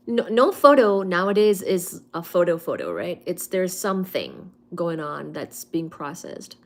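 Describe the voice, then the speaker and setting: A young woman from India with an Indian accent, hosting a casual livestream and answering viewer comments in an unhurried, hesitant way with frequent fillers. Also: fairly low pitch, big pitch swings. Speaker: livestream host. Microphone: phone or webcam on a live stream.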